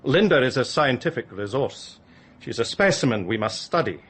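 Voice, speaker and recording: An actor playing a callous doctor in a radio drama, clinical and condescending. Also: male voice, mid-range voice, animated, moderate pace, a bit bright, breathy edge. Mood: condescending, smug.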